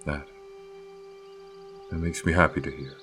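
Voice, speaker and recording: A man acting in a fantasy audio drama as a gentle, melancholy guardian of a flower field, speaking in measured, halting phrases. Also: rumbling low, little pitch movement, faint music behind, heavily acted. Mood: tender, grateful.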